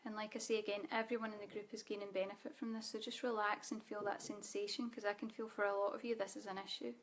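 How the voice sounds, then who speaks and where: A Scottish woman leading a live online spiritual training, speaking softly and calmly, guiding listeners with frequent ums. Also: medium pitch, fast talker. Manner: soft guided-meditation delivery with fillers. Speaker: spiritual teacher.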